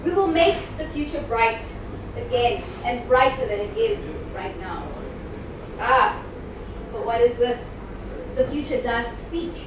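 A woman with a South African accent delivers spoken-word poetry in a high voice, deliberate and dramatic. She leans on key words and leaves pauses between phrases. The recording is very echoey, with clear noise around her. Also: expressive. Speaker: poet performer. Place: live event in an echoey indoor venue. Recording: echoey room, distant mic, clear background noise.

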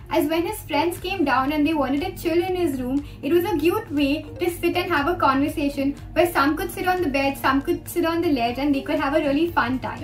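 A young woman, an interior designer, talking to the camera in an upbeat, explanatory way as she presents a design tour. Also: high voice. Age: young adult. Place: green-screen studio, indoors. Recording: distant mic with background music.

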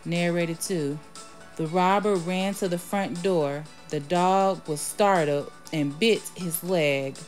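A woman reading a children's storybook aloud in a measured way, announcing each part before she reads it. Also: on the low side, darkish tone, soft background music. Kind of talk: storybook read-aloud, scripted narration.

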